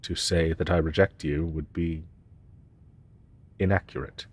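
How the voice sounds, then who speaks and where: A very low-pitched, unhurried male dragon professor, acted by a man, speaks with lofty, archaic formality. He is haughty and grandiose with a tender streak, as in a romantic fantasy audio roleplay. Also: fairly even intonation, husky, full character voice. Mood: haughty, affectionate. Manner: archaic, pompous, ornate formal phrasing.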